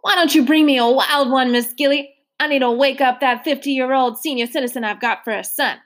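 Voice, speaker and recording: A woman voicing a frail, gentle old man who talks in a polite, fussy, genteel way. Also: high voice, steady tone, brisk, lightly acted. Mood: courtly.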